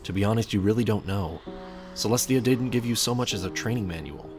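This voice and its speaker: A man narrates a fan fiction story in a low-pitched voice. He tells it dramatically, as a storyteller addressing the listener, while music plays clearly behind him. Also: fairly quick. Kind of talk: scripted narration of fan fiction in second person.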